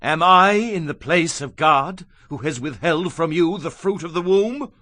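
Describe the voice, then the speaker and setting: An actor playing an earnest, hot-blooded younger husband in a dramatized scripture reading, with intense, emphatic delivery. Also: male voice, fairly high pitch, unhurried, pushed and bright, no room sound, studio quality. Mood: indignant.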